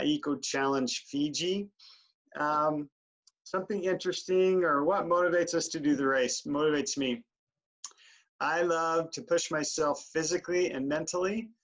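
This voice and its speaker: A man's voice: fairly high pitch, measured pace, poor audio. A man in his forties introducing himself and his siblings in an easygoing, joking way with some fillers. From Idaho, USA. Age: 40s. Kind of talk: casual team introduction.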